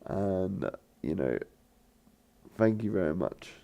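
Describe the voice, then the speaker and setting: A man with a croaky, gravelly voice chats loosely over his game footage, stopping and restarting his sentences. His voice is low and dark, and it rises and falls a lot as he rambles. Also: lively intonation, slow pace. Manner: hesitant, many fillers and restarts. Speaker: gaming channel host. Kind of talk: rambling let's play commentary.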